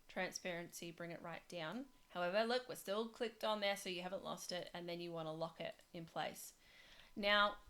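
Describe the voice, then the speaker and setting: A woman with a fairly low voice talks through a design tutorial, chatty and loose, padding her sentences with fillers and restarting mid-thought. Her voice sounds a little worn, as if she is losing it. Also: fairly bright tone. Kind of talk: casual screen-share tutorial.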